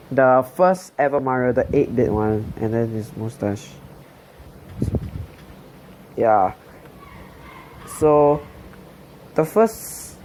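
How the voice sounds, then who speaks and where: A man's voice: mid-range voice, slight background noise, poor audio. A young man in his late teens from Singapore, telling his life story in a hesitant voice-over full of fillers and restarts. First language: Chinese. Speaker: channel host.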